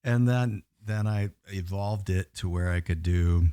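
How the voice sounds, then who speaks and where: A man guesting on a bar podcast, telling rambling stories with a naturally low voice and many fillers. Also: unhurried, mellow, clear, resonant tone, no echo, clean studio sound. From Pacific Northwest US. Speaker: guest singer. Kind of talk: casual podcast chat.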